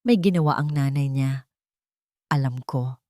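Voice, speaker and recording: A woman's voice: a bass voice, unhurried, darkish tone, no echo, clean studio sound, pitched below the performer's own voice. A woman voicing a distraught but determined young female singer in an audio love story. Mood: insistent, pleading. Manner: insistent, tearful pleading.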